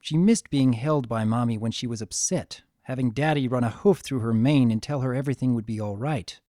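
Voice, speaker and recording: A man reading a scripted story as narrator, with a gentle, expressive dramatic delivery. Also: mid-range voice, brisk, no room sound, studio quality.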